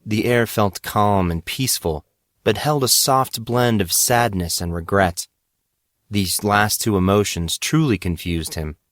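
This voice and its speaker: A man reading scripted fiction as narrator, in a measured, dramatic storytelling manner. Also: fairly low pitch, fairly bright tone, no echo, clean studio sound.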